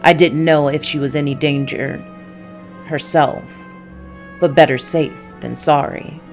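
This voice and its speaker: Woman reading a low-voiced, world-weary noir detective. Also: steady tone, measured pace, soft background music. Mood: wry, weary. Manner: dry, brooding first-person narration.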